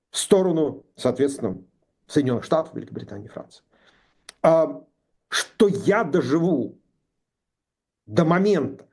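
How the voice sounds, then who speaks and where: A man in his sixties talking politics, indignant and sarcastic, with halting speech full of pauses and fillers. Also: fairly high pitch, warm tone. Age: 60s. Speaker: political commentator. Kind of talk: political discussion.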